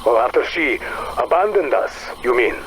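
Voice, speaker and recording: A man's voice: mid-range voice, animated, normal speed, a bit bright, a little hiss or hum, close to an everyday voice, poor audio. An actor playing a guarded, bitter Russian man, speaking with a Russian accent and a curt, intense manner in a game drama. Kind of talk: dramatic game dialogue acting.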